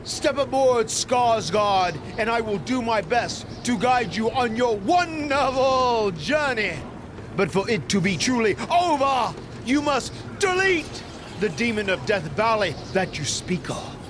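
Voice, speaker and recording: A man's voice: extremely high pitch, very animated, a little slow, background noise, exaggerated voice. An actor playing an eccentric, grandiose mystical boatman guide in a video game, delivering theatrical proclamations. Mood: grandiose, solemn. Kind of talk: video game cutscene acting.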